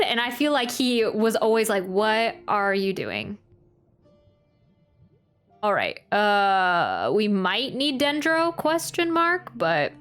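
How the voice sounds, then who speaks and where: A woman's voice: a little high, fairly bright tone. A woman giving casual gameplay commentary, chatty and rambling, often interrupting herself mid-sentence. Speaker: streamer reacting to a video game.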